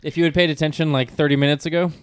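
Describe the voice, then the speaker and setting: A man on a casual podcast, loud and playful, bantering with friends. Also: a little high, steady tone, very fast, slight background noise. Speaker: podcast co-host.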